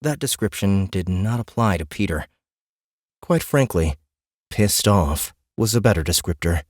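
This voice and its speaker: Male narrator, deep pitch, dry close sound, scripted fantasy audiobook, wry storytelling. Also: lively intonation, a little slow, no echo.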